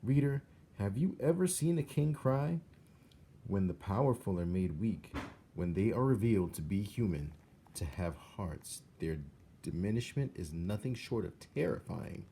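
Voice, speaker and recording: A man reading a children's story aloud as an expressive narrator. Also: lowish voice, unhurried, creaky, slight background noise.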